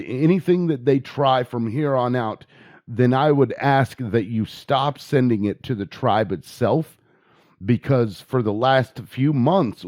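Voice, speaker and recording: An American man talking informally and giving his opinions in a halting way, repeating words as he goes. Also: mid-range voice, mellow, no echo, highs cut off. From United States. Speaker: video blogger and activist. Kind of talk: informal opinion monologue and phone inquiry.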